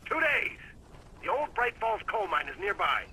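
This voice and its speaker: American game villain, taunting kidnapper, high voice that jumps around, noisy audio. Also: male voice, sing-song, moderate pace, bright, forceful tone, full character voice. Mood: taunting menace. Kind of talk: villain acting in a video game.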